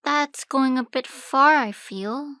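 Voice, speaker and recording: A woman playing a blunt, wry fairy in a stage play, speaking briskly and irreverently. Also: high voice, pushed and bright, dry recording, studio quality, exaggerated voice. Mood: wry, skeptical.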